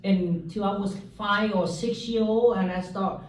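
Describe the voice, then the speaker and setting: A man from South Vietnam, giving a halting church testimony full of fillers and joking asides. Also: high-pitched, steady tone. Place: church hall. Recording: echoey hall, mic from far off.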